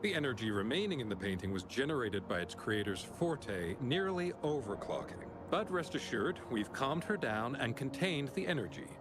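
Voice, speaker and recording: Formal investigator guy in a game, a man voicing him, lively pitch, quick. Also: noisy background, lightly acted. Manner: formal, explanatory, reassuring.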